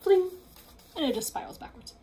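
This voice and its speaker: Young female read-aloud reader, high-pitched, casual with little asides, home-recorded in a small room. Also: moderate pace, darkish tone, a little hiss or hum. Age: young adult.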